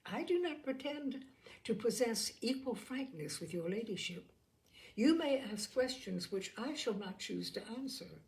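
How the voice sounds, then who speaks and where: A woman voicing a composed, defiant young gentlewoman in an audiobook of a classic novel, cool and pointed in her measured replies. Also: a little high, big pitch swings, rough recording. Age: young adult.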